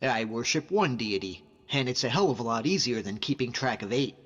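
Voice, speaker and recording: A man plays a young Nordic hunter from a fantasy video game, loyal and devout. He talks in an earnest, informal way at a medium pitch. The sound is slightly muffled, with a long reverb and music behind him. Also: lively intonation, brisk, fairly bright tone. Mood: reflective, earnest. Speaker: video game follower character. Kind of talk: conversational game character voice acting.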